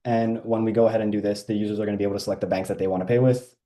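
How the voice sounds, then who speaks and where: Male demo presenter, low and very mellow, informal explanatory walkthrough delivery. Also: fairly even intonation, very fast. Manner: explanatory, step by step. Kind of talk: informal walkthrough of a software screen.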